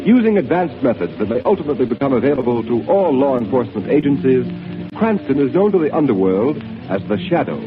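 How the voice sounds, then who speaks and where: A man's voice: medium pitch, sing-song, fast talker, over music. Emphatic vintage radio announcer, man. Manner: emphatic, salesy. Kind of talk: 1930s radio announcing and ad read. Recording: tinny 1930s radio recording.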